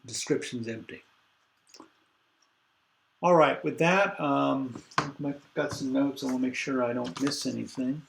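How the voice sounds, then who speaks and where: An older man lecturing a university class over a video call, congested, halting and full of fillers as he thinks aloud. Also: mid-range voice, creaky, roomy sound, a little hiss or hum.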